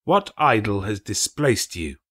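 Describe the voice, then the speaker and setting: A man's voice: lowish voice, sing-song, unhurried, bright, forceful tone, no echo, clean studio sound, heavily acted. Storybook suitor turned miser, played by a male reader: prime of life, proud, curt, defensive. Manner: defensive, retorting. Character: proud, impatient man in his prime, hardened by avarice. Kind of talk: dramatic audiobook reading.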